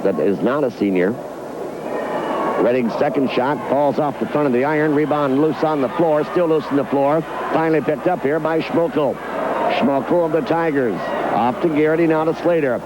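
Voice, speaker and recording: Man calling basketball over loud noise. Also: a little high, normal speed. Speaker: radio play-by-play sports announcer. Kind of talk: live basketball commentary. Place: indoor gymnasium fieldhouse. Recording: noisy 1990s local radio broadcast.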